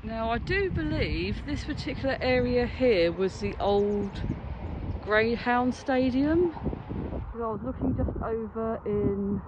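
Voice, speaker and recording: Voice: medium pitch, dull audio. A British vlogger giving a casual, hesitant, rambling commentary while walking, recorded outdoors on a handheld phone. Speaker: urban exploration vlogger. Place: open derelict site outdoors. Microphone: handheld phone, no headset. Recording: noisy windy outdoor phone audio.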